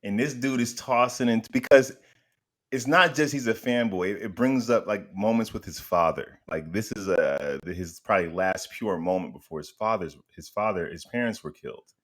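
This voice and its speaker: A man's voice: on the low side, normal speed. A man co-hosting a fan podcast, chatting casually and offering commentary. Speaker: podcast co-host and actor.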